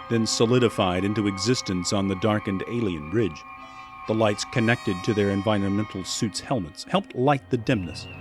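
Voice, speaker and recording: A man's voice: lowish voice, lightly acted. A man playing the solemn narrator of a scripted science fiction audio drama. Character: solemn male storybook-style sci-fi narrator.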